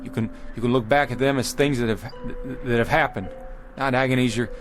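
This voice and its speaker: A man's voice: medium pitch, fairly even intonation, brisk, natural voice. A desperate pioneer leader played by a man begs his weary settlers to keep going, his words urgent and often stammering. Faint music runs behind him. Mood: persuasive.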